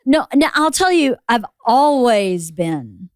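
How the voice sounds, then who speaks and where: Female podcast host with a loud, opinionated delivery and a dry, close sound. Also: on the high side, big pitch swings, slow pace, clean tone, dry recording. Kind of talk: casual interview chat.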